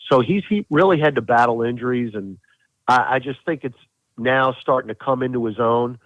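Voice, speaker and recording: A man's voice: mid-range voice, moderate pace, no echo. A man giving casual, emphatic sports analysis as a play-by-play announcer guesting on a podcast, repeating phrases for stress. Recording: thin, narrow phone-like line.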